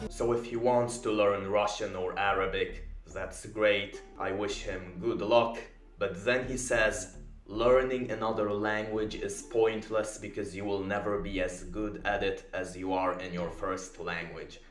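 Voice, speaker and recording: A man's voice: on the low side, fairly even intonation, unhurried, reverberant, soft background music. A young man whose first language is Polish, giving an earnest, emphatic self-improvement commentary. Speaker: video essayist.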